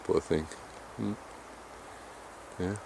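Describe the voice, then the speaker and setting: A man from Limburg with a Dutch accent and a deep voice, chatting casually to his camera outdoors while out on a bicycle trip, sometimes mumbling a little. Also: steady tone, extremely slow, vocal fry, mellow, a little hiss or hum, rough recording. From Limburg, Netherlands. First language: Dutch Limburg dialect. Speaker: vlogger. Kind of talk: casual outdoor vlog narration. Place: outdoors in flat open countryside.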